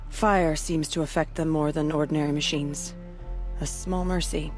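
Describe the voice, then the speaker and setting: Game war leader, voiced by an actress: female, steadfast, stern, weary, low for a woman, music behind. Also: steady tone, normal speed, a little mellow, lightly acted. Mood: grave, resolute. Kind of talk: video game cutscene acting.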